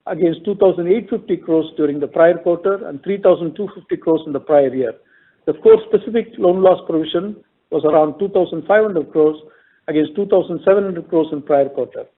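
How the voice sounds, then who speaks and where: A man's voice: sits high, husky. Indian man on a tinny phone line. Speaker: company finance executive. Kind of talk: formal earnings call presentation, reading figures. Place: conference call.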